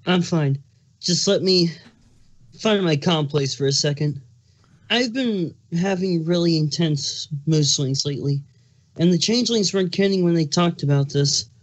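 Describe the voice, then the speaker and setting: A man's voice: on the high side, a little slow, lightly acted, poor audio. A man voicing a moody, snappish pregnant male changeling in a cartoon fan fiction reading. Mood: weary, exasperated. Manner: snappish, touchy, sarcastic. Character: irritable, moody pregnant male changeling creature with big appetite.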